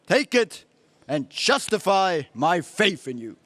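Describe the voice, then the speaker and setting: A man's voice: high voice, big pitch swings, slow, a bit bright, no room sound, heavily acted. Hearty, swooping hawk-man king. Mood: solemn, proud. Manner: grandiose, declamatory. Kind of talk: 1930s space serial radio play acting.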